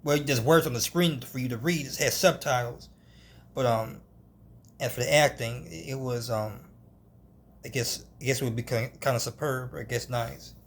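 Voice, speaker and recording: A man giving an unscripted film review, halting, often restarting and hedging as he goes. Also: mid-range voice, steady tone.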